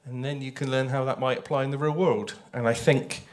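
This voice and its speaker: A man's voice: medium pitch, steady tone, a little fast. A man speaking conversationally on a panel as a writer, explaining his ideas thoughtfully and repeating phrases for emphasis. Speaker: audio drama writer on a festival panel.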